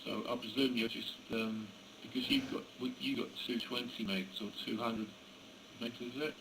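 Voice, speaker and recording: Halting British man with gravelly fry. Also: medium pitch, steady tone. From England. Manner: hesitant, halting. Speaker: amateur radio operator. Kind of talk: casual ham radio chat. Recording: noisy amateur radio contact recording.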